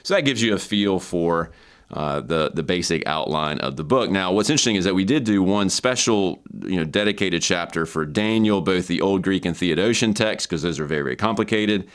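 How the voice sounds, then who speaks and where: A man's voice: low voice, animated, a little fast. A man hosting an informal, explanatory walkthrough of an academic book, conversational yet scholarly, repeating words for emphasis. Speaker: host and book reviewer.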